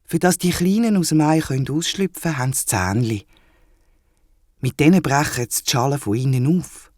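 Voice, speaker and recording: Storyteller playing a platypus mother, brisk, gently chiding, matter-of-fact, Swiss German accent, dry children's audio story. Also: mid-range voice, expressive, dry recording, close to an everyday voice. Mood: explaining. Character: brisk, teasing platypus mother with newborn twins.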